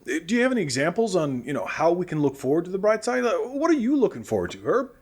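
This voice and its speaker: Cheerful male radio presenter for apocalypse comedy show, man acting, high voice, very animated delivery, earnest and encouraging. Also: fast, breathy edge, heavily acted. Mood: encouraging, patient.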